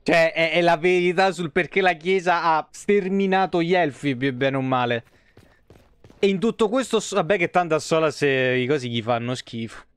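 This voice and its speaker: A man streams a game in a fairly high, smooth voice. He talks colloquially, grumbles and swears freely, recorded close and dry. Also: moderate pace, no room sound. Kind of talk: casual live game commentary. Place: small indoor room.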